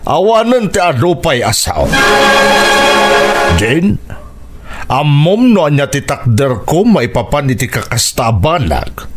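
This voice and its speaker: A man's voice: a little high, very animated, slow, pauses often, faint music behind. A man with a Filipino accent acting a stern, unyielding middle-aged father in an Ilocano radio drama, curt and firm. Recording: very noisy radio drama recording.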